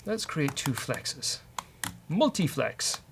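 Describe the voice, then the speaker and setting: A man's voice: fairly high pitch, very animated, takes it slowly, gravelly. A man with a British accent hosting a casual live coding walkthrough, thinking aloud in a jokey way. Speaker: host of a coding show. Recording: keyboard clatter and clicking noise. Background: typing and mouse clicks.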